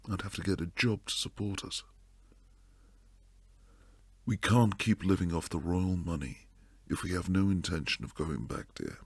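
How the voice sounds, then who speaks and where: A man playing a protective, devoted bodyguard and secret lover in an intimate boyfriend roleplay, low and husky, speaking earnestly close to the mic. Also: no echo, heavily acted. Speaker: audio roleplay performer. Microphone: close, dry studio mic.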